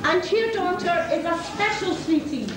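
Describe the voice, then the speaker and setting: A woman's voice: very high voice, normal speed. A woman playing a pompous, imperious wicked queen in an amateur stage pantomime. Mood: imperious, grand. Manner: pompous, theatrical, commanding. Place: school stage, recorded from far off. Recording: distant, echoey, noisy school hall stage recording.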